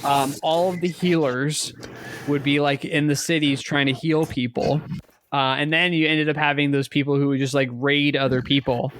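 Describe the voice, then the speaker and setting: A man co-hosting a podcast, chatting casually about video games in a halting way, often repeating and rephrasing. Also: a little high, little pitch movement, a bit bright, a little hiss or hum. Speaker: podcast co-host.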